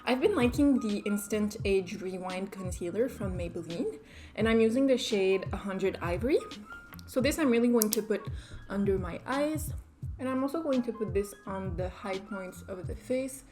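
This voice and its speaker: A woman's voice: medium pitch, animated, moderate pace, over music. A young woman with a French Canadian accent, chatting casually through a makeup tutorial just after waking up, self-deprecating and easygoing. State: just woke up. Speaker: beauty vlogger.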